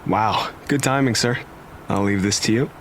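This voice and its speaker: A man's voice: fairly low pitch, normal speed, natural voice. A man playing a courteous army lieutenant working a front desk in an audio drama. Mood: businesslike. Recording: noisy background ambience.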